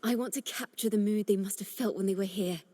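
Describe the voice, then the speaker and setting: A woman voicing a gentle, earnest young bard in a video game story, speaking in a measured, contemplative and poetic way. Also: mid-range voice, no echo, lightly acted. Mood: wistful, inspired. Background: background music.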